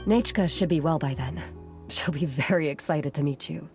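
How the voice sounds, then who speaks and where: A woman playing a caring, modest young artisan in an anime-style video game, gentle and earnest. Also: deep voice, normal speed, faint music behind. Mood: grateful.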